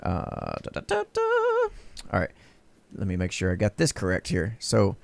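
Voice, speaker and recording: A man's voice: sits low, huge pitch swings, normal speed, gravelly, no room sound. A man in his thirties hosting a podcast from a small home studio, joking in a playful, mock-serious way on a stand mic. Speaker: podcast host. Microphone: studio mic on a stand, wearing headphones.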